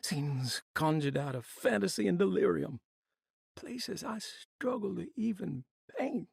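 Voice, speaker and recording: An actor playing a tormented, melancholy man reciting a poetic game monologue in a theatrical, anguished way, with a husky, creaky voice. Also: sits high, lively intonation, a little slow, darkish tone, dry recording, studio quality, full character voice. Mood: haunted despair. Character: haunted, tormented, melancholy man reciting his written laments.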